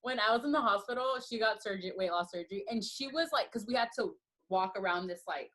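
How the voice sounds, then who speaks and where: A woman's voice: medium pitch, quick pace, a bit bright, rough recording. A woman in her late twenties hosting a podcast, asking questions in a chatty, casual way. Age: 20s. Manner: chatty, asks questions, uses "you know". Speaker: podcast host. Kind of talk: casual interview chat.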